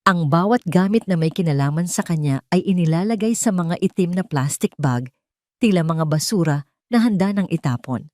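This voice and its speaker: A woman with a lowish voice narrates a scripted first-person drama. Her delivery is solemn and deliberate, and the sound is dry with very little room around it. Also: dark tone, no room sound. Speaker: audio story narrator.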